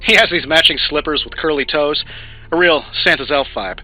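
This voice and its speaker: Bright, sharp, joking young hero. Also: male voice, fairly high pitch, brisk, faint music behind, poor audio. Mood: teasing, urgent. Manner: playful, rambling, casual. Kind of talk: video game character dialogue.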